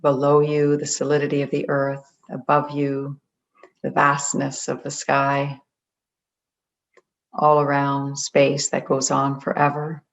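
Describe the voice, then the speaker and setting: A meditation guide leading a group in a gentle, deliberate way, leaving long pauses between phrases. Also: medium pitch, completely flat delivery, gravelly. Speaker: meditation guide, spiritual coach. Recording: dull, muffled lo-fi audio.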